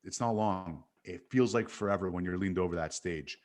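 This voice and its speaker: A man from Montreal, an animated guest who tells stories and corrects himself as he chats on a video call. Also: low-pitched, moderate pace. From Canada. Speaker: guest sales speaker. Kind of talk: casual video-call chat.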